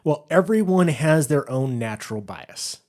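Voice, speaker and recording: A man's voice: fairly high pitch, lively intonation, unhurried, darkish tone. Man co-hosting a casual podcast. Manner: explains points at length, laughs easily. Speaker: podcast co-host.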